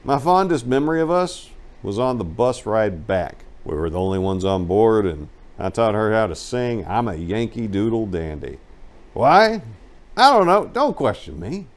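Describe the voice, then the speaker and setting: A man narrating a first-person memoir as a dramatic reading, with emphatic storytelling and some drawn-out phrases. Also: fairly low pitch, sing-song. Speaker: narrator reading a book aloud.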